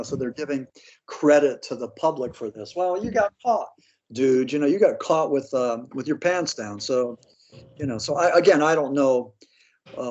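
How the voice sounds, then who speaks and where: A man's voice: mid-range voice, a little mellow. Blunt American man, dull lo-fi sound. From Pennsylvania, United States. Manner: blunt, skeptical, slangy. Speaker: interview guest, paranormal author. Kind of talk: casual opinionated interview talk.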